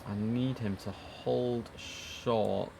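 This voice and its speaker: A man with a fairly low voice streams as an air traffic controller. He switches between formal clipped calls and casual talk to himself, with noticeable noise behind him. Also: fairly even intonation, extremely slow, vocal fry. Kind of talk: livestream game commentary with clipped radio instructions.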